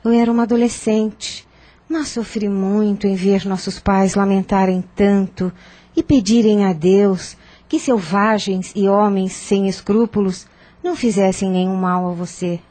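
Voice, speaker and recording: An actress with a Brazilian accent playing a gentle, sensible, consoling younger sister in a radio soap opera. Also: female voice, mid-range voice, fairly even intonation, close to an everyday voice. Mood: sad, reflective. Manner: earnest, measured, theatrical. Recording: music under the voice.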